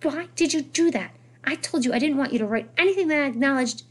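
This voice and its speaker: A woman storyteller voices a schoolboy's father, stern and lawyerly. He talks in a stiff, measured, exasperated way, with a husky grain to the voice. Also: high voice, very animated, voice pushed up, exaggerated voice.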